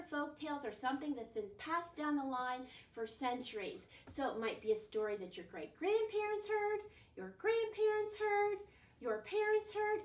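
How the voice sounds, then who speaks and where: A woman's voice: high voice, darkish tone. An older woman telling a folk tale at children's storytime, expressively and in simple phrases, as a library storyteller. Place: carpeted living room at home. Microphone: camera a few metres away. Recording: roomy, distant camera microphone.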